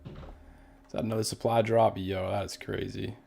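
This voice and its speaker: A man's voice: fairly low pitch, level tone, a little slow, gravelly, warm tone, slight background noise. A young Canadian man streaming a game, chatting casually with viewers in a laid-back way, with a Canadian accent. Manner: laid-back, reads chat aloud, many fillers. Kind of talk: casual live-stream chat while gaming.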